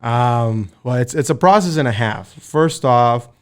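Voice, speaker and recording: A man in his thirties from the Dominican Republic, a guest telling stories in a relaxed, easygoing way. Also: mid-range voice, measured pace, smooth. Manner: relaxed, easygoing storyteller with many fillers. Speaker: guest, firefighter. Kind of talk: casual interview chat.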